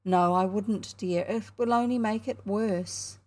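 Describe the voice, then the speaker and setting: A woman's voice: mid-range voice, a little slow, darkish tone, close to an everyday voice. A woman voicing a polite, anxious mother in a storybook, hesitant and fussing as she speaks. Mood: concerned.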